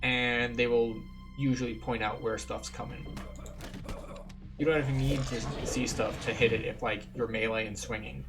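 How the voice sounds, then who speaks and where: A man's voice: medium pitch, steady tone, moderate pace. A man giving casual, matter-of-fact gameplay commentary as he explains things like a tour guide. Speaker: game streamer and tour guide. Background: game music.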